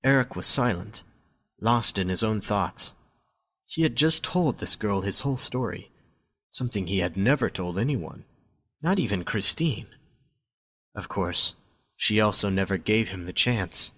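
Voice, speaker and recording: A man's voice: fairly low pitch, expressive, unhurried, long pauses, bright, forceful tone, slightly rough. A man reading scripted story narration as the narrator of an amateur audio drama.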